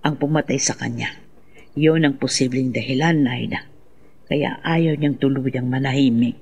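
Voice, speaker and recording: An actor playing a grave, knowing older mother, solemn and foreboding, with a gravelly, husky voice in a radio drama. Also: a bass voice, measured pace, a little mellow, highs cut off, heavily acted.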